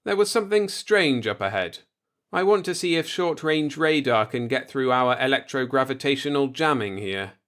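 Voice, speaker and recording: A man's voice: mid-range voice, animated, normal speed, a bit bright, voice pushed up, lightly acted. Man acting a stern, distrustful young spaceship captain. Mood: wry, alert. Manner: blunt, sardonic, earnest.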